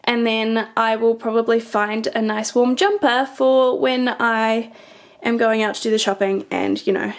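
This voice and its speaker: A young woman with a New Zealand accent talks through her outfits in a relaxed, upbeat way. Her fairly high voice sounds scratchy and stuffed up from a cold. She is recorded on a camera mic in her bedroom. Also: a little slow. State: hoarse and congested from a cold. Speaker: fashion vlogger and teacher. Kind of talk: casual outfit vlog. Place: bedroom with a mirror, indoors. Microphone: camera's built-in mic, filmed in the mirror.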